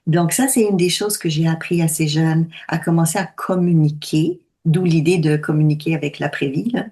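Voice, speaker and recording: A woman in her sixties speaks in a slightly low, calm voice. She explains her points slowly and deliberately in easy conversation. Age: 60s. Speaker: medium. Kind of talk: conversational talk.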